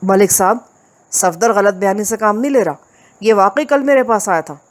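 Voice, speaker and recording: A woman voicing an anxious village man who loudly defends his friend, in an audio story. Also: mid-range voice, moderate pace, natural voice. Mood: earnest. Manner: protesting, raised voice.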